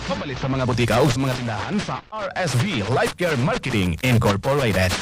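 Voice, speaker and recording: A man's voice: sing-song, a little fast. A man with a Filipino accent doing dramatic promotional radio announcing over music. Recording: loud music bed under voice. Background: loud music and booming effects.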